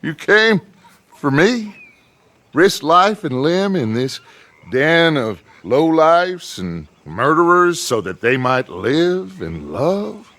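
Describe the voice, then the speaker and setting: An actor playing a charismatic middle-aged American outlaw gang leader in a video game, speaking in theatrical, deliberate oratory. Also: male voice, on the high side, big pitch swings, fairly bright tone, heavily acted. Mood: mock gracious. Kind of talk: dramatic video game acting.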